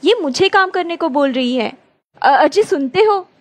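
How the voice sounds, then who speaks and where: A woman's voice: high voice, animated, unhurried, slight background noise. A woman voicing a lazy, short-tempered young married daughter in a cartoon, whiny and complaining.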